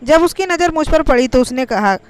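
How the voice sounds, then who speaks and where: A woman's voice: high voice, expressive, fairly quick. A woman reading a scripted, first-person emotional story as its narrator.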